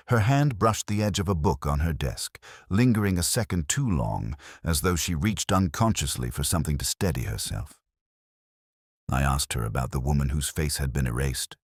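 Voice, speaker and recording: A man with an extremely deep voice reads a scripted detective story in the first person. His delivery is measured, brooding and literary, with plenty of rise and fall in pitch. The sound is very dry, with no room around it. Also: dry recording. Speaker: narrator.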